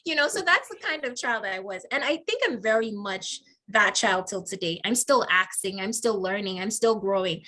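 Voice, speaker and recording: A woman's voice: mid-range voice, animated, normal speed, hardly any pauses. A woman with a Nigerian accent, a faculty member, talking over a video call as an interview guest and telling stories with frequent laughs. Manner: warm storytelling with frequent laughs.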